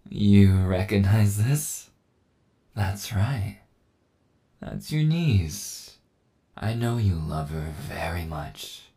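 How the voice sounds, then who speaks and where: A menacing cyborg villain, played by a man for an ASMR audio roleplay. The voice sits very low and crackles with heavy fry. Lines come out slowly, with a smug, condescending air of total control. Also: warm tone. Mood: veiled threat. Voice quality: low, gravelly. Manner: taunting, slow menace, chuckling condescension.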